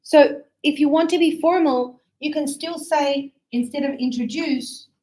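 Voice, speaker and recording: A woman teaching an English lesson, explaining slowly and clearly and acting out sample dialogues. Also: high-pitched, a little mellow, low-quality recording.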